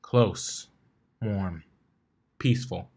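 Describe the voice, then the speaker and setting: A man reading fiction aloud as a narrator, in an expressive storytelling style. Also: low voice, very slow, husky.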